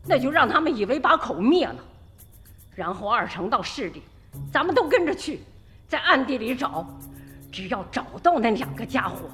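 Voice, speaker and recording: A woman's voice: on the high side, big pitch swings, normal speed, fairly bright tone, big-room sound, faint music behind. An actress playing a stubborn old village mother in a radio drama, emphatic and emotional, pleading for her son. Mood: firm, scheming. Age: older. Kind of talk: Chinese radio drama acting.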